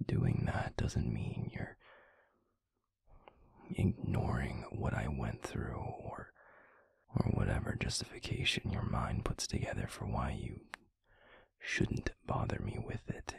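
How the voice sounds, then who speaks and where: A man acts as a gentle, protective werewolf boyfriend in an intimate audio roleplay. His voice is extremely deep and heavily creaky, breathy and husky to the point of whispering. He coaxes softly and soothingly, very close and dry. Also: animated, dry recording. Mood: tender, reassuring. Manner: soothing, slow, gently coaxing.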